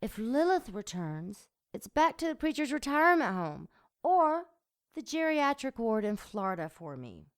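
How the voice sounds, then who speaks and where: A woman's voice: fairly high pitch, big pitch swings, normal speed, clear, resonant tone. A woman playing a seductive, domineering demon in an audio drama, with a sultry, mocking delivery. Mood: haughty, scheming. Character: seductive, sadistic female demon, taunting and domineering.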